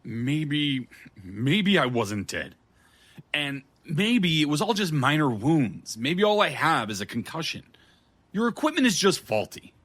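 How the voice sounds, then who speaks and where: An actor playing a skeptical, bewildered man with a husky voice and halting delivery in an audio drama. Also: fairly high pitch, very animated, a bit bright, heavily acted. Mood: incredulous, defensive. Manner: halting, incredulous, plain-spoken. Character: bewildered, skeptical everyman refusing to believe he is undead.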